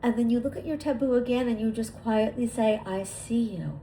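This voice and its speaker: A woman leading a guided visualization, speaking to the listener in a deliberate, repetitive way with lingering pauses. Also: a little high, fairly even intonation, warm tone, a little hiss or hum, low-quality recording. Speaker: guided meditation leader.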